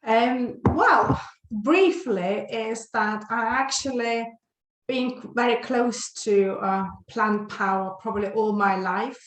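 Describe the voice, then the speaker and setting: A woman's voice: a little high, slow, reverberant, slight background noise, poor audio. A woman in her forties from Lithuania, a guest on a podcast interview, talking with many fillers and restarts. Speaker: guest, health and well-being coach.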